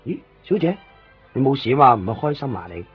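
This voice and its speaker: A man acting an eager, chatty toy shop owner in a radio drama, rambling excitedly in Hong Kong Cantonese. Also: medium pitch, quick pace, slightly rough, lo-fi sound. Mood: playful, excited. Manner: excitable, rambling, fond of showing off toys. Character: eager, chatty male second-hand toy shop owner, kind to customers. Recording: band-limited 1990s radio recording with faint music.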